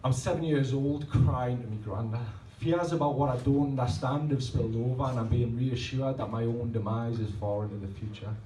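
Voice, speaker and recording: A man's voice: medium pitch, fairly quick, few pauses, warm tone, a little hiss or hum. A man, reading rhymed spoken-word poems and talking loosely between them. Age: 30s. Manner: rhythmic, rhymed delivery between rambling, self-deprecating asides. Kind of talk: poetry reading with chatty asides. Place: pub function room at a poetry night. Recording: roomy, distant live recording, faint ambience.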